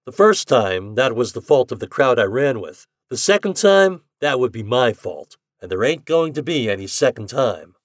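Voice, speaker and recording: A man reading an audiobook, voicing a gruff, desperate young male bookmaker who talks bluntly in slang. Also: mid-range voice, big pitch swings, normal speed, few pauses, dry recording, clean studio sound, voice pushed up. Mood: defiant. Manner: blunt slangy talk, rising to pleading.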